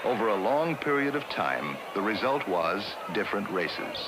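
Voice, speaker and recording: Lively 1960s educational film narrator. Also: male voice, fairly low pitch, very noisy. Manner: measured, explanatory.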